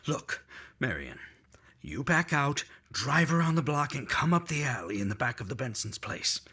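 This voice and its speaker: A man playing a panicky criminal in an old-time radio drama, speaking in a terse, urgent, raspy way. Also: mid-range voice, sing-song, normal speed, bright, forceful tone, heavily acted. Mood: urgent, tense. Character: panicky, hard-pressed male criminal hiding a body. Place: indoors at home, video call setup.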